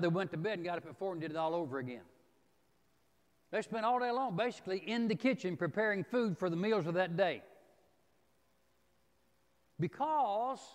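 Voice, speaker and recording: A middle-aged man teaching a Bible class, reading and paraphrasing scripture in an emphatic, folksy way with deliberate pauses. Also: high-pitched. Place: large empty church auditorium, indoors. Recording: reverberant church hall, long echo.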